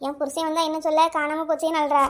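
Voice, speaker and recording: A woman's voice: sits high, flat delivery, fast talker, lightly acted. A woman playing a concerned village neighbour in a comic cartoon, explaining plainly with a southern Tamil Nadu accent.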